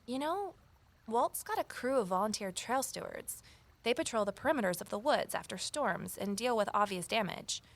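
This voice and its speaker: A woman's voice: medium pitch, expressive, moderate pace. An actress playing an earnest, self-doubting young woman sleuth in an audio drama, speaking in a wry, conversational way. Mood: thoughtful, friendly. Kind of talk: naturalistic audio drama acting and first-person narration.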